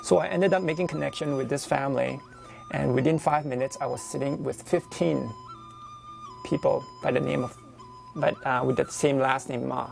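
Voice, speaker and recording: A man with a Malaysian accent and a heavily creaky, mid-pitched voice talks over music. He is a non-native English speaker who feels his way through sentences, explaining things in a personal, unscripted way, as an artist would in an interview. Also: steady tone, darkish tone, rough recording. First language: not English. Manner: halting, searching for words. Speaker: visual artist interviewed for a TV profile. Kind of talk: unscripted personal storytelling and explanation of own work.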